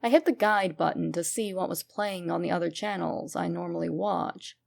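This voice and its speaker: Female narrator for creepy internet fiction: noticeable vocal fry, emphatic and wry storytelling. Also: on the low side, moderate pace, a little mellow. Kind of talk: dramatic first-person horror story reading.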